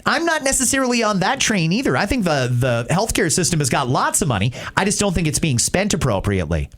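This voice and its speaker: Man, Canadian accent, slightly high pitch, lively swooping intonation, opinionated radio commentary, plain-spoken. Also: fast talker. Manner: emphatic, conversational, plain-spoken. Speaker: talk show host. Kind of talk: opinionated talk-radio commentary answering listener messages.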